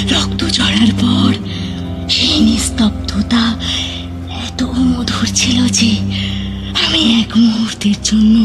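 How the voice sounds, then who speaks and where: A woman playing the haunting, reproachful ghost of a murdered woman in a dramatic audio drama, lamenting with dramatic pauses. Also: fairly high pitch. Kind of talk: audio drama acting in Bengali.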